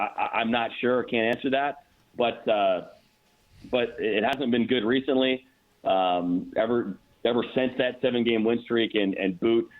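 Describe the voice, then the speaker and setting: An American man giving analysis as a guest announcer in a sports radio interview, thinking aloud with repeated words. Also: on the low side. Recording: dull, narrow-band phone-like line.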